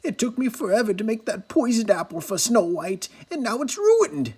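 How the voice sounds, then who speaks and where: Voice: very animated, normal speed, a little mellow, slightly rough. A screeching wicked old queen. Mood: furious. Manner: screeching, shrill and furious.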